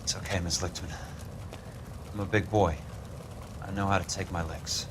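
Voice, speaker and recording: Casting: male actor as battered tough-guy noir investigator, low voice, dry and sardonic, clear noise behind. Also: steady tone, normal speed, natural voice. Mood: weary, gently wry. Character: wry, tough, wisecracking male investigator recovering from injuries. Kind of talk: film noir video game acting.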